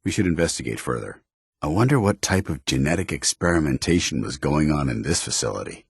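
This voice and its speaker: A disciplined military paladin from a video game, with a very low, heavy voice. He is stern and principled, speaking in formal, clipped commands. The sound is close and dry, with a slightly rough edge to the tone. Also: very animated, fairly quick, no echo, exaggerated voice. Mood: grave, observant. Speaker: video game companion character.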